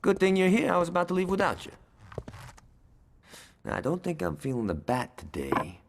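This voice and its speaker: A man's voice: on the high side, wildly swooping pitch, moderate pace, heavily acted. A man playing a cocky, taunting, wisecracking gangster in a video game. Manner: taunting, swaggering. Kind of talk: video game cutscene acting. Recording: clear background noise.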